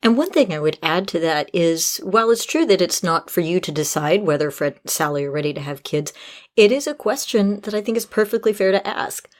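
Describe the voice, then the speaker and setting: A woman's voice: lowish voice, lively intonation, brisk. A woman podcast host giving advice in a conversational, explanatory way.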